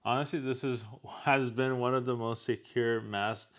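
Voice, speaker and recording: A man's voice: mid-range voice, fairly even intonation, normal speed, a bit bright. A man chatting casually as he hosts an unboxing and product review.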